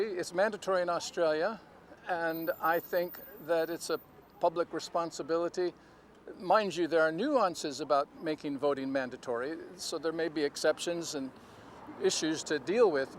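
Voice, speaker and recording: A man giving a measured, unscripted opinion in a street interview. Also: on the high side. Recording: faint outdoor street noise.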